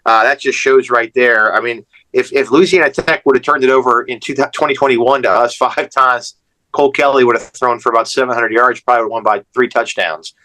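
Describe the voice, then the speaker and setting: A man's voice: medium pitch, fairly even intonation, fairly quick, pushed and bright, poor audio. A man on a sports podcast panel, chatting casually in a blunt, conversational way. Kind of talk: casual chat.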